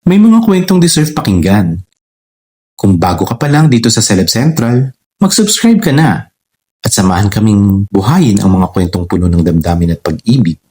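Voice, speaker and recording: A Filipino man narrating a scripted romance story in a sentimental, expressive way over music. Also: fairly low pitch, moderate pace, husky. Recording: music under the voice. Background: background music.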